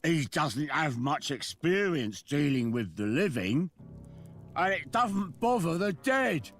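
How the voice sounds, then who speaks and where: Slow, high-voiced, simple townsman. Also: very animated, a bit bright, soft background music, exaggerated voice. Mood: obliging. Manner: obliging, simple, third-person self-reference. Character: helpful, simple-minded townsman speaking of himself by name. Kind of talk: adventure game dialogue.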